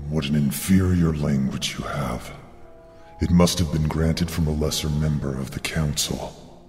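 A man plays a haughty otherworldly giant in an audio drama. The voice is extremely low and slow, speaking with condescension inside a long cavernous reverb. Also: steady tone, a little mellow, faint music behind. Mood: haughty, weary.

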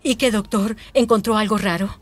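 A woman's voice: mid-range voice, fairly even intonation, normal speed, natural voice. An actress with a Colombian accent playing an emotional, defensive mother in a radio soap opera. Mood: anxious. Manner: emotional, pleading, indignant. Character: distraught, defensive mother of a young girl.

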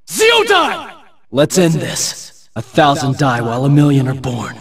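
A man's voice: medium pitch, a little slow, husky, heavily acted. An actor playing a cool, resolute teenage swordsman in a fighting video game, speaking in terse, clipped battle lines. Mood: shouting, fierce. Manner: terse, clipped battle barks and calm taunts.

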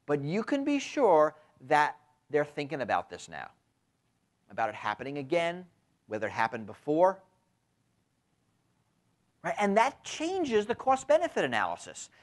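A man in his fifties giving a university lecture, speaking emphatically and often tagging his points with a quick question, recorded on a lapel mic in a lecture room. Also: a little high. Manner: frequent tag questions, lively emphatic delivery. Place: lecture room with window blinds.

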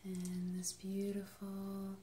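A young adult woman leading an ASMR energy healing session, soft-spoken and soothing, sometimes dropping into a whisper. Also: medium pitch, monotone, slow pace. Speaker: Reiki healer and channel host. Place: small dim indoor treatment room. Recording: roomy, slightly noisy home recording.

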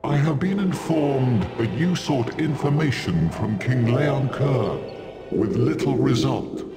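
An actor playing a solemn forest elf king in a video game, speaking in a formal, grave, deliberate manner. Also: mid-range voice, expressive, roomy sound. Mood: regal welcome. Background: music.

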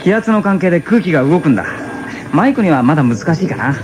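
A man's voice: a little high, very animated, fast. An actor playing a determined, anxious husband and father settling a new planet, in a dramatic radio drama. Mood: gentle. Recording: 1980s radio recording with background noise.

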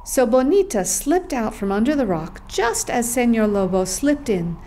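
A woman's voice: a little high, lively intonation, moderate pace, dark tone, a little hiss or hum. A woman narrating a scripted children's story as the narrator of a puppet show.

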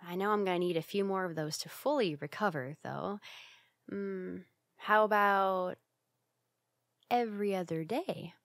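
A woman's voice: mid-range voice, no echo, close to an everyday voice. Woman acting a flustered tsundere roommate, dry close mic. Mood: tender. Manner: halting, flustered, breathy sighs. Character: flustered tsundere young woman roommate, bashful, secretly affectionate. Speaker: ASMR roleplay performer. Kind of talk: ASMR roleplay acting.

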